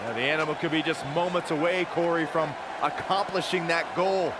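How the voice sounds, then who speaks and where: A man's voice: on the high side, brisk, natural voice. A man playing a concerned, emphatic wrestling color commentator in a video game. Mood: intense, analytical. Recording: loud arena crowd noise behind. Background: roaring wrestling crowd.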